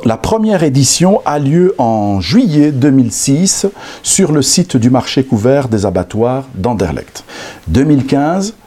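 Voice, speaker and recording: A man's voice: mid-range voice, dark tone, husky. A man hosting a radio interview, announcing the guest and reading through a list of dates. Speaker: radio host.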